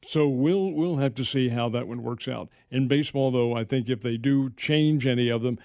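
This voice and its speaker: A man with a gravelly, fry-laden voice talks sports on the radio. He weighs each point slowly and doubles back on his words. The studio sound is dry and close. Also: mid-range voice, darkish tone, dry recording. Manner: measured, hedging, restarts his sentences. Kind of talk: casual sports talk radio. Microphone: studio microphone.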